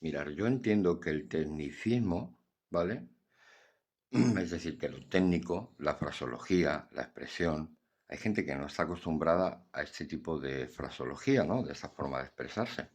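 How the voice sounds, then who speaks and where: A man's voice: rumbling low, expressive, normal speed, breathy edge. An older man, talking bluntly and earnestly about acceptance in a casual philosophical monologue. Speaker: podcast host.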